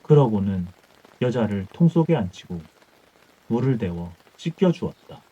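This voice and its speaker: A man's voice: fairly low pitch, very animated, normal speed, dark tone, husky. A man reading an old folktale as scripted bedtime audiobook narration. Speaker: audiobook storyteller. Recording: dull muffled audio with music.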